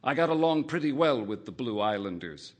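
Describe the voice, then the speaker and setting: A man reading a first-person literary short story aloud, in a measured, wry narrating manner. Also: medium pitch, big pitch swings. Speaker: audiobook reader.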